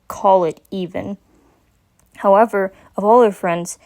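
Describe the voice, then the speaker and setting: A woman's voice: medium pitch, a little mellow. Woman narrating a story. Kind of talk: dramatic story reading, scripted narration.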